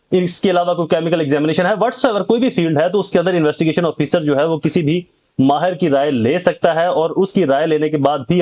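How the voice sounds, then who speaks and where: A man's voice: on the high side, darkish tone. A man with a Pakistani accent giving an instructive, methodical explanatory lecture, mixing in English terms. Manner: code-switches between Urdu and English terms. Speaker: host of a legal education channel, lecturer.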